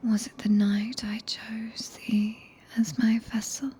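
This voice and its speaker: A woman with a British accent voicing a tender, possessive, regal witch in a breathy ASMR roleplay whisper, speaking archaic English in a soothing, commanding manner, close to a binaural microphone. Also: fairly high pitch, flat delivery, slow, dark tone, exaggerated voice. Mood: teasing, intimate.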